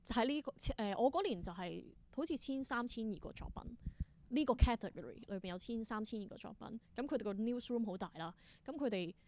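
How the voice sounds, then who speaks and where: A woman's voice: a little high, lively intonation, brisk, a little mellow, slight background noise. A woman with a Hong Kong Cantonese accent answering as a theatre performer in an interview, explaining things conversationally and dropping in English terms.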